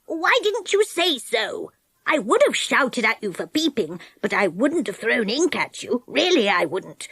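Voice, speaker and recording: A woman doing a shrill, hot-tempered little pixie. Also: very animated, a little slow, breathy edge, no room sound, studio quality, exaggerated voice. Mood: sheepish. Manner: shouting in a shrill rage.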